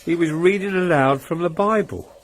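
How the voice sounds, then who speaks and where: A man's voice: a little high, normal speed, slight background noise, close to an everyday voice. A man playing a grumbling, put-upon Victorian husband. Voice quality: plain-spoken, complaining. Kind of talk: radio drama acting.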